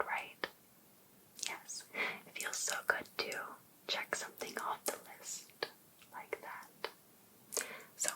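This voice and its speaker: An obsessed, jealous life coach played by a woman in an ASMR roleplay. She whispers very slowly and coaxingly to a single client, sweetly pushy and possessive. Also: lightly acted, rough recording. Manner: slow, breathy, coaxing whisper addressed to one client.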